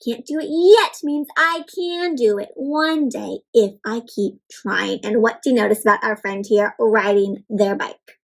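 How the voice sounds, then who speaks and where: A young woman with a high voice reads a picture book aloud to children and talks with them as she goes. Her delivery is animated and encouraging, and she speaks directly to her young listeners. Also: unhurried, vocal fry, hardly any pauses. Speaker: children's storybook reader and host. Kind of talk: interactive read-aloud for kids.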